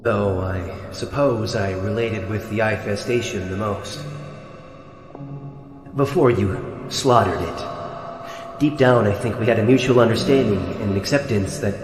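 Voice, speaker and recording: A man's voice: fairly low pitch, measured pace, close to an everyday voice. A man voicing a sardonic mutant sea-creature captive in a fan comic dub, with theatrical, drawling sarcasm. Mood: subdued, wry. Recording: echoey, long reverb, loud music behind. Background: music.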